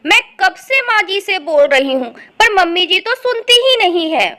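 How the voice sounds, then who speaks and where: A woman's voice: extremely high pitch, lively intonation, unhurried, fairly bright tone, heavily acted. A woman voicing a hurt, sulky young daughter-in-law in a dramatised family story, complaining plaintively and emphatically. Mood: aggrieved.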